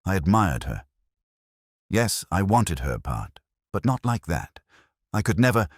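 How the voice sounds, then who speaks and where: A man acts out an indignant actress in a detective audiobook. The lines burst out emotionally and defensively, in a low register with lively pitch movement. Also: unhurried, no echo, studio quality, full character voice. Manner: emotional outbursts. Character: young actress, passionate, indignant, defensive suspect.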